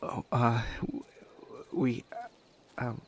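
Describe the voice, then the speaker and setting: A man acting an anxious, kind young helper at a disaster in an audio roleplay, stammering heavily in a soft, hesitant voice. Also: mid-range voice, completely flat delivery, extremely slow, slight background noise. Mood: nervous, hesitant. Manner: heavy nervous stammer, hesitant.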